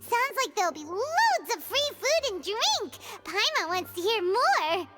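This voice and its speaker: A woman voicing a tiny, childlike, excitable fairy companion in a video game, chirpy in manner. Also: extremely high pitch, unhurried, full character voice. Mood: curious, excited. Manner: chirpy, speaks of herself in third person. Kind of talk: video game character acting. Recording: music behind the voice.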